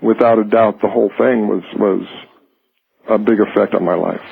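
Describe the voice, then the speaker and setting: A middle-aged or older man calling a radio show, recalling his experience in a halting, hesitant way. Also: on the low side, fairly even intonation, creaky, breathy edge. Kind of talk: personal recollection on a call-in show. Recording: tinny, narrow phone line.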